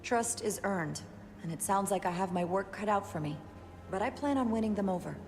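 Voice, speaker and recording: A woman's voice: mid-range voice, expressive, a little fast, slight background noise, natural voice. A woman playing a composed, diplomatic first officer in a video game, speaking politely and formally. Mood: determined. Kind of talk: cinematic video game dialogue. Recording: game audio with prominent music underneath.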